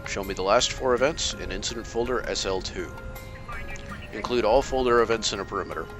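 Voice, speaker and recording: An actor playing a calm, analytical masked vigilante detective with a dry, measured delivery. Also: male voice, fairly low pitch, over music, lightly acted. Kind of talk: amateur motion comic voice acting.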